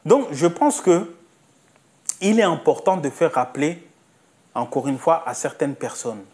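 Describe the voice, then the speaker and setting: A man's voice: a little high, expressive, unhurried, a little mellow. A man delivering a spoken opinion monologue, insistent, repeating himself and asking rhetorical questions. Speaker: commentator.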